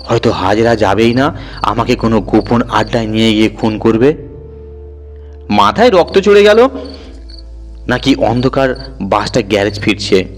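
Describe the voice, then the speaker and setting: A man narrating a horror story in the first person with an expressive, dramatic storytelling delivery. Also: fairly low pitch, moderate pace, pauses often. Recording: music bed under the voice. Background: music.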